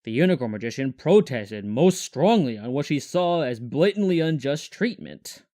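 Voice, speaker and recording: A man's voice: mid-range voice, lively intonation, normal speed, mellow. A man reading scripted story narration as the narrator.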